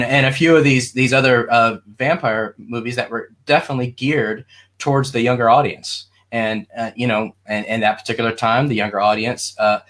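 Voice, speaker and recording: Male panelist, average pitch, loose rambling delivery, casual discussion style. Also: fairly bright tone. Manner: rambling, informal.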